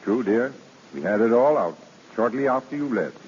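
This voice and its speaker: An actor playing a doting, eager gentleman in an old radio drama, affectionate and brisk in his talk. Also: mid-range voice, animated, close to an everyday voice. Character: doting, eager wealthy gentleman, devoted fiancé. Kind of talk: 1930s-40s radio drama acting. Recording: dull old radio recording, faint noise.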